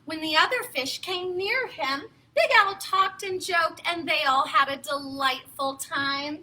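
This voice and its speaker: Very high, bright woman reading to children. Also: unhurried. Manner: exaggerated, playful, expressive delivery. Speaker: children's storytime host and reader. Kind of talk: theatrical read-aloud storytelling for children.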